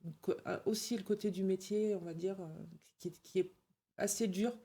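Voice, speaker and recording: A woman entrepreneur talking as a guest in a casual interview, chatty and halting, with many restarts. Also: medium pitch, fairly even intonation, warm tone.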